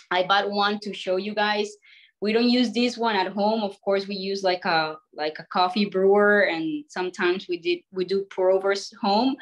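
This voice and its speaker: A woman from Colombia, speaking, gives a relaxed presentation on a video call with many small fillers. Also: mid-range voice, poor audio. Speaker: guest speaker, coffee roaster.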